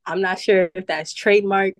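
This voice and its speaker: A woman's voice: mid-range voice, fairly even intonation, moderate pace, no room sound. A young adult woman from Brooklyn, chatting casually as a podcast guest, upbeat and full of fillers. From Brooklyn, New York. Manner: chatty, upbeat, with many "like" and "you know" fillers. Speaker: podcast guest, model and event host. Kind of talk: casual interview chat.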